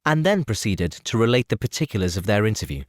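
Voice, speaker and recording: Expressive male audiobook narrator. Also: lowish voice, quick pace, no room sound, studio quality. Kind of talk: scripted narration.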